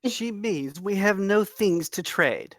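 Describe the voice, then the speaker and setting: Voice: medium pitch, expressive, takes it slowly, dry recording, clean studio sound. A performer at a table read playing an earnest, timid servant who speaks properly and deferentially. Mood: anxious. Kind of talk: table read of a comedy fantasy script.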